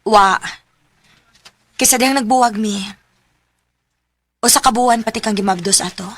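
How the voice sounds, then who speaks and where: An actress playing a caring single mother in her thirties, gently admonishing in a Cebuano radio drama. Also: on the high side, normal speed. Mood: caring, resigned.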